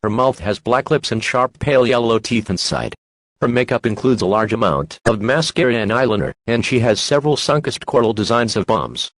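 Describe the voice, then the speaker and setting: A man's voice: on the low side, dry recording. Formal male emergency alert announcer, dry sound.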